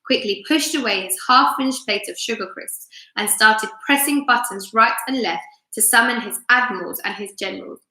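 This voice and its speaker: Bright-voiced woman reading aloud in echoey room. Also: on the high side, normal speed, few pauses. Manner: expressive, asks listeners questions. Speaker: teacher reading aloud to children on a live stream. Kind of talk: live story time reading with chat to listeners. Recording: roomy, echoey room sound.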